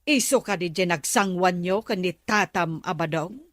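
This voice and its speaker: A woman's voice: lowish voice, moderate pace, fairly bright tone, no room sound, studio quality, close to an everyday voice. A woman playing a gossipy, prying village woman in a comedy radio drama.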